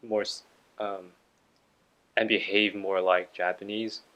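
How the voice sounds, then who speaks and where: A man hosting an interview, asking questions and sharing views in a measured, reflective way. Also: fairly low pitch, flat delivery, sharp tone, highs cut off. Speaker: interviewer, channel host. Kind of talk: casual interview conversation.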